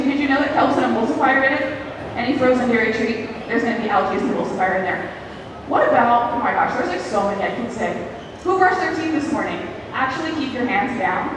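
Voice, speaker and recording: High-voiced woman presenting in a very echoey hall. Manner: interactive, conversational, addresses audience with questions. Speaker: aquarium educator and show presenter. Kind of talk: live educational presentation to an audience. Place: large public aquarium exhibit hall, amplified. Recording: echoey hall, far from mic, background noise.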